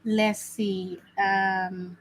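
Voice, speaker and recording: A woman's voice: mid-range voice, level tone, drawn-out words, a little hiss or hum. A woman hosting a live watch-along stream, chatting casually and often repeating her phrases with many fillers. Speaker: host.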